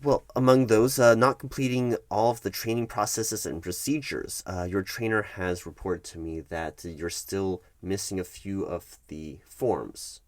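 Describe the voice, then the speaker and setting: A man acts out a stern, patient male HR manager in an ASMR office roleplay. His voice sits fairly low and is recorded close and dry. He is formal and halting, often doubling back on words to press his point. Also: fairly even intonation, dry recording. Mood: firm, exasperated. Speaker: recruiting HR manager. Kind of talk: one-sided ASMR roleplay of a work meeting.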